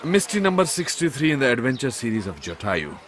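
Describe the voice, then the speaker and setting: An actor with an Indian accent playing an excitable, good-natured thriller writer and comic sidekick in a radio drama, with theatrical delivery. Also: male voice, mid-range voice, quick pace, close to an everyday voice. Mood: wistful.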